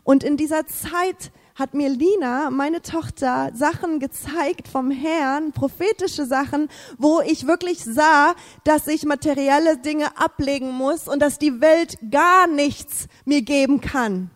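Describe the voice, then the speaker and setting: Woman, English native, fervent testimony, high voice, echoing hall. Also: takes it slowly, hardly any pauses. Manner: fervent, repetitive, searching for words. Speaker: speaker giving a testimony. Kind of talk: emotional faith testimony.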